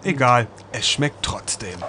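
Measured male documentary narrator. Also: medium pitch, expressive, sharp tone, slight background noise. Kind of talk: scripted voice-over narration.

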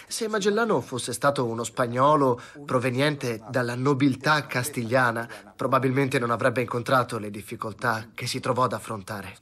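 A man in his fifties giving a historian's interview, explaining in a calm manner, heard as a dubbed voice-over. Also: mid-range voice, moderate pace, hardly any pauses.

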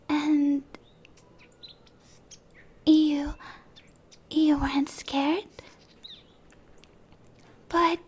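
A shy young dryad played by a woman speaks in a breathy ASMR roleplay whisper. The voice is high and quite even, moving slowly. The delivery is gentle, earnest and a little hesitant. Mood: gentle, reassuring. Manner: hesitant, soft-spoken, earnest. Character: shy, gentle young female dryad, a tree-bound monster girl.

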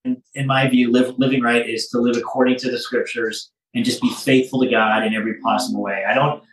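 A man's voice: mid-range voice, little pitch movement, brisk. A middle-aged man chatting earnestly and emphatically in an office, picked up by a phone across the room. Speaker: studio guest. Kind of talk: casual, earnest chat. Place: indoor office with green walls. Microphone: phone across the room on video call. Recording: echoey room, far from the phone mic.

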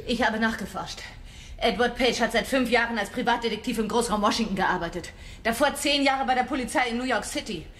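A woman's voice: on the high side, a little fast, fairly bright tone, slight background noise, natural voice. An actress playing a distraught, defiant young mother in an audio thriller. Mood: menacing, desperate. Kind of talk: German audio thriller acting.

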